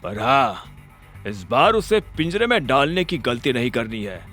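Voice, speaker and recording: A man voicing an unhurried, commanding, menacing crime boss in an audio drama. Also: mid-range voice, big pitch swings, a bit bright, soft background music, pitched below the performer's own voice. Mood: calm menace. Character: cool, menacing male crime boss biding his time.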